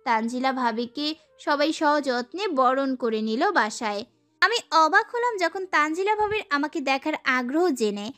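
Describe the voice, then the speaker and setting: A young woman playing a sassy, feisty girl who tells her own love story in an expressive, girlish way. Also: sits high, unhurried. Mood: dramatic, musing. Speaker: narrator and lead actress. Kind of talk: dramatised first-person romance story narration.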